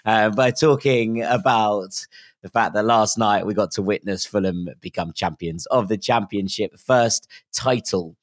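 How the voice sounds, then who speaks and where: A hoarse man hosting a casual football podcast, chatty and enthusiastic with frequent ums. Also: on the low side, a little slow, no echo. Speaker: podcast host.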